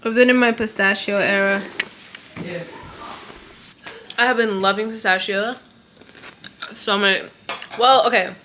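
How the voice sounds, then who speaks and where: A young woman vlogging at home, chatting casually and directly to her viewers with a relaxed, talkative manner, recorded on a camera set a few feet away. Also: mid-range voice, echoey room, a little hiss or hum. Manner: chatty, relaxed, speaks straight to viewers with filler "like". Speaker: lifestyle vlogger. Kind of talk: casual vlog chat to camera. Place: indoors at home, large room.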